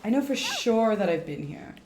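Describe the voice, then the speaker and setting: A woman's voice: a little high, sing-song, normal speed, darkish tone, a little hiss or hum. A woman streaming a game with casual, rambling commentary, thinking aloud over game music. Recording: echoey room, faint game music. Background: faint game music.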